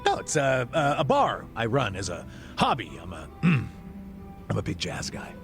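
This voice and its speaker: An actor voicing a blustering, slangy middle-aged ex-cop who bluffs as a rich benefactor in a video game. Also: male voice, mid-range voice, wildly swooping pitch, a little slow, over music. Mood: flustered, putting on airs. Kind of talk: video game cutscene dialogue.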